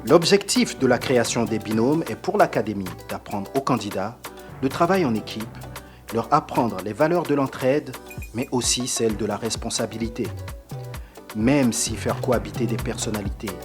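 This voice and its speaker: A man's voice: mid-range voice, moderate pace. Male narrator, formal announcing, scripted voice-over, strong music under it.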